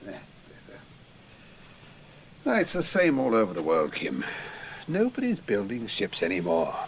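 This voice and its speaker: An actor playing a worldly, sceptical, calculating English establishment man in a radio drama, measured, dry and authoritative. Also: fairly low pitch, huge pitch swings, a little hiss or hum, heavily acted, rough recording. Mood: dismissive.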